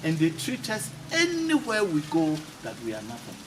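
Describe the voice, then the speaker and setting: A man's voice: on the high side, wildly swooping pitch, normal speed. A man hosting a casual interview, often repeating phrases for emphasis. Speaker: interviewer, channel host. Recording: noisy, distant mic with ambient sound.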